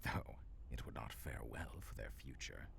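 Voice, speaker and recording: Very deep, menacing ancient demon lord. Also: male voice, expressive, vocal fry, a bit bright, a little hiss or hum, full character voice. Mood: stern, controlled. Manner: slow, formal, menacing, breaking into whispers. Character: ancient menacing male demon-frost giant hybrid tyrant. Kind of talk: dramatic audio roleplay acting.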